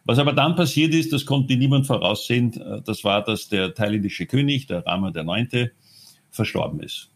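A man from Austria, telling his own story in an interview, halting often with fillers and restarts. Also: mid-range voice, expressive, vocal fry.

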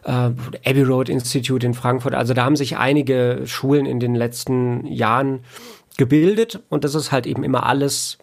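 A man, an audio producer talking as an interview guest, explaining things in a halting way and repeating words as he thinks. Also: mid-range voice, steady tone, creaky. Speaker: audio producer and sound engineer, podcast guest.

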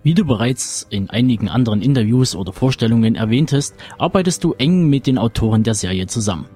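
A man's voice: medium pitch, animated, fast, warm tone, breathy edge, faint music behind. A man with hosting an interview, reading out carefully prepared questions. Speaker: interviewer, show host. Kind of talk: scripted, read-out interview questions.

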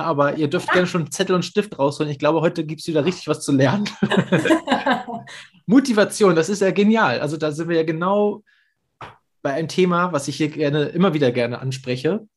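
A man's voice: fairly high pitch, lively intonation, moderate pace, breathy edge. A man hosting a podcast interview, talking in an enthusiastic, chatty way. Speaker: podcast host.

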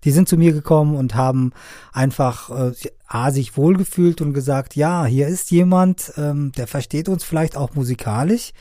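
A middle-aged man from Afghanistan, a sound engineer and media trainer, answering interview questions in a halting way with many fillers and repeated words. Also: on the high side, mellow, dry recording, clean studio sound.

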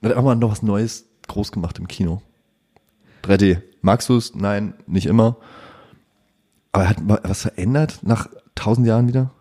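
A man's voice: fairly low pitch, moderate pace, dark tone, breathy edge. A man co-hosting a podcast, chatting casually and joking brashly, with frequent swearing. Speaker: podcast co-host. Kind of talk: casual chat.

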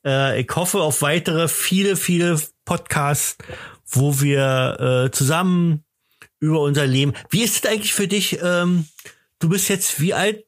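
A man's voice: fairly high pitch, unhurried. A man in his fifties from eastern Germany hosting a podcast, chatting in a casual, rambling, jokey way and poking fun at himself. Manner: jokey, self-deprecating. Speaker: podcast host.

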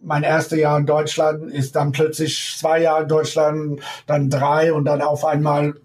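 A middle-aged man from the United States with an American accent, a ballet teacher talking as an interview guest in halting German full of pauses and small laughs. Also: fairly high pitch, monotone, darkish tone. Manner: halting German with many ums and frequent laughs. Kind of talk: casual interview over remote connection.

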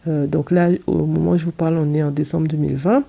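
A woman's voice: low-pitched, level tone, quick pace, vocal fry, warm tone, lo-fi sound. A woman whose first language is English, hosting a solo podcast and giving direct, earnest advice with frequent fillers and restarts. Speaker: podcast host and English coach.

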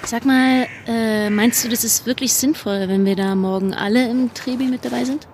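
A woman acting an earnest young activist with a high, girlish pitch. She talks in halting, colloquial bursts, as in a naturalistic audio drama, with faint noise behind her. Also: steady tone, a little mellow, lightly acted. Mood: anxious, hopeful. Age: young adult. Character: earnest, agitated young female climate activist.

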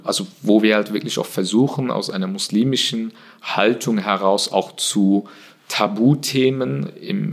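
German-raised man, low gravelly voice, explains his work at an even, thoughtful pace with frequent hesitations. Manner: earnest, measured, frequent hesitations. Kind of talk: explanatory interview answers.

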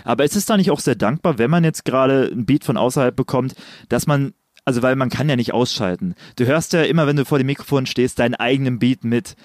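A man's voice: medium pitch, fast talker, dry recording, clean studio sound. A man interviewing a musician, asking long, wandering questions full of fillers. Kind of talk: casual interview.

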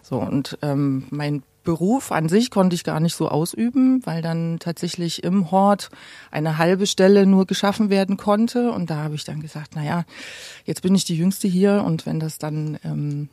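A woman's voice: on the low side, normal speed, mellow, no echo, clean studio sound. A woman in her late forties from eastern Germany, telling stories conversationally as an interview guest. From Gera, eastern Germany. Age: late 40s. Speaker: podcast guest. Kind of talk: conversational storytelling about own life.

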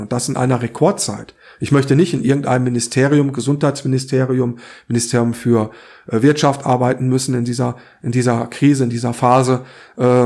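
Male podcast co-host, hoarse with a cold, opinionated and earnest delivery. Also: mid-range voice, dark tone. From Saarland, Germany. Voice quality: rough, hoarse. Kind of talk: opinionated political podcast talk.

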